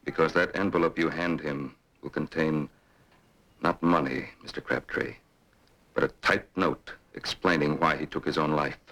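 An actor playing a cold, scheming male employer in an old radio drama, speaking slowly and persuasively. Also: rumbling low, a bit bright, full character voice, poor audio. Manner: slow, measured, coldly persuasive. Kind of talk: 1950s radio drama acting.

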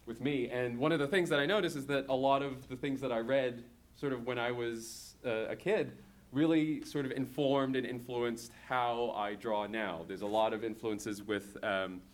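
A man in his thirties, from Hong Kong, a comics creator talking to an audience and often pausing with fillers and restarting. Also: mid-range voice. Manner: hesitant, many fillers and restarts. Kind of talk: talk to an audience.

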